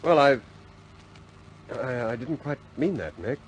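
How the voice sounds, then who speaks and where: An actor playing an earnest, self-doubting young RAF squadron leader in a 1950s British war film, hesitant and understated. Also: male voice, mid-range voice, animated, slow pace, poor audio.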